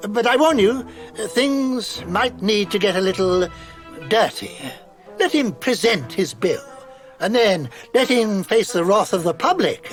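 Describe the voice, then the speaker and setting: A pompous court physician in a radio drama. His voice sits high and swings widely as he plots with haughty, deliberate menace. Music plays underneath. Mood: conspiratorial. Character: pompous, scheming upper-class royal physician, menacing. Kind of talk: British radio drama acting.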